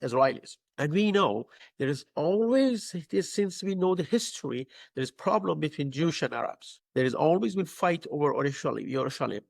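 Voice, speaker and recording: A middle-aged man from Iran with a Persian accent, a pastor answering interview questions in halting English full of fillers and restarts. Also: a little high, animated, vocal fry, no room sound. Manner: halting, searching for words, many fillers. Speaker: pastor and guest.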